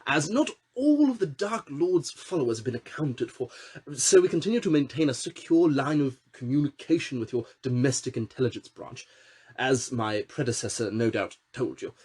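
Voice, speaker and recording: A man's voice: medium pitch, animated, normal speed, a little mellow, breathy edge, voice pushed up. A man voicing a short, fidgety, nervous male minister who fawns and hesitates. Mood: timid. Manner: timid, hesitant, fawning.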